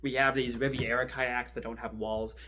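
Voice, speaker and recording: A man playing a wry, rattled archival assistant in a horror drama, rambling and sardonic as he records a halting confessional monologue. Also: medium pitch, level tone, sharp tone, lightly acted. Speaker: performer in a fan audio-video drama. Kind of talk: found-footage confessional monologue, conversational storytelling.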